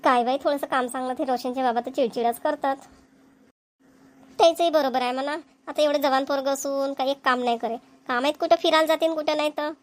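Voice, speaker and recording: A homely, dutiful village mother in a cartoon, played by a woman speaks patiently. Her voice sits high. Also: fairly even intonation, quick pace, lightly acted. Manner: rural Marathi dialect, patient, agreeable. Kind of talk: cartoon voice acting.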